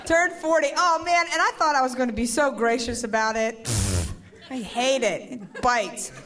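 A woman in her forties doing a stand-up comedy routine on stage, animated, shouting her punchlines and slipping into voices. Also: sits high, normal speed, soft background music. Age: 40s. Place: comedy stage before a live audience. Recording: live show with loud crowd and laughter.

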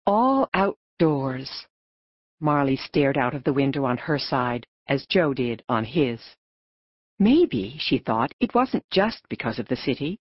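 A woman narrating an audiobook story in an expressive storytelling manner. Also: low voice, no room sound, highs cut off.

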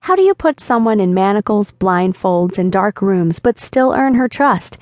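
A woman's voice: medium pitch, expressive, normal speed, no echo, studio quality, lightly acted. Woman reading as a teasing, confident man. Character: flirtatious confident man chatting online. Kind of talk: audiobook dialogue reading.

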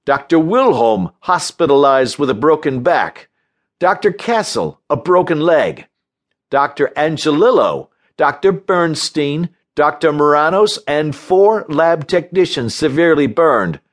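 Man playing an irate doctor, pitched up. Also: sing-song, a little slow. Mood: aggrieved. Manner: snarling, indignant, pompous wording. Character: irate, sarcastic male research doctor, fussily eloquent. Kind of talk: audiobook character voice in a comic story.

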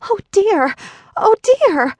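An innocent little girl from a storybook, her voice pitched high and clear, asking everything with earnest wonder, as a woman reader puts her on. She is easily startled, and the sound sits close and dry with no room around it. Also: sing-song, moderate pace, dry recording, highs cut off. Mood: dismayed.

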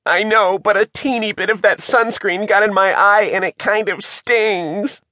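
A man's voice: high voice, fairly even intonation, unhurried, sharp tone, no echo, clean studio sound, voice pushed up. A man voicing a cowardly, melodramatic young pirate boy in a children's storybook, panicky and whining. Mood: whiny.

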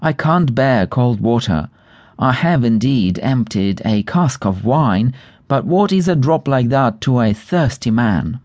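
A man's voice: medium pitch, expressive, a little slow, darkish tone, dry recording, natural voice. Man as thirsty little grey man, storybook character, miserable, complaining, dry sound.

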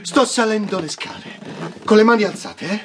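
A man's voice: high voice, normal speed, noisy background, natural voice. An actor playing a hot-tempered, protective young man in a radio drama, urgent, emphatic and coarse. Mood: placating. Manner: urgent, foul-mouthed, emphatic.

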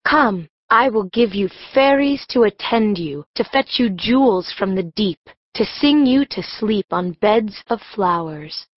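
Slow, enraptured fairy queen. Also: medium pitch, expressive, no room sound, muffled recording. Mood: enraptured, tender. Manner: languid, enraptured, stately. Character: lovestruck fairy queen, regal and doting. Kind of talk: theatrical audiobook Shakespeare acting.

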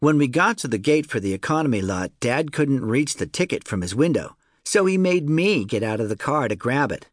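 A man reads a first-person children's audiobook in a conversational, storytelling way. His mid-pitched voice moves around in animated swings, recorded close and dry with no room sound. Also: a little fast, hardly any pauses, no room sound. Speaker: audiobook narrator.

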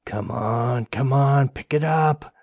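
A panicked, loving husband on the run in an audiobook thriller, played by a male narrator. He speaks in halting, urgent stammers, with a raised, very mobile pitch. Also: very animated, a little mellow, husky, dry recording, exaggerated voice. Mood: nervous muttering. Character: hunted, distraught husband and father, loving but panicked.

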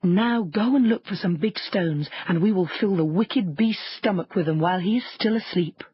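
Woman as devoted mother goat, slightly husky. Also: mid-range voice, normal speed, no room sound, muffled recording. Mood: resolute.

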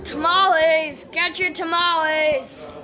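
Casting: little street-vendor boy, piping high, slow sing-song cries, noisy crowd around. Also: fairly even intonation. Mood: hawking. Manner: loud drawn-out sing-song hawker's cries. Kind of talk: western radio drama. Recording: noisy, echoey outdoor crowd scene.

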